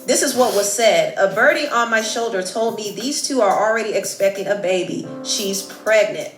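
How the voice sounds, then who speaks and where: A woman's voice: medium pitch, moderate pace, fairly bright tone, reverberant, faint music behind. A woman gossip host giving scripted, emphatic celebrity news commentary with dramatic reveals.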